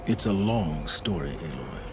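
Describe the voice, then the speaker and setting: Deep-voiced, grave scholarly man. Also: expressive, noisy background. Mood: grave, confiding. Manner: measured, eloquent, portentous phrasing. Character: grave, scholarly older man confessing a guilty past. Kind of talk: dramatic video game acting.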